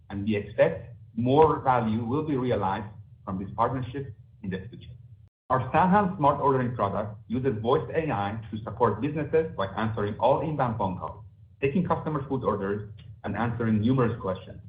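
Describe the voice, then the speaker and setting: A man speaking as a company executive, formally reading prepared remarks for an earnings call. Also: medium pitch. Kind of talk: scripted earnings call presentation. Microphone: conference call line. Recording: roomy, noisy, phone-like conference line.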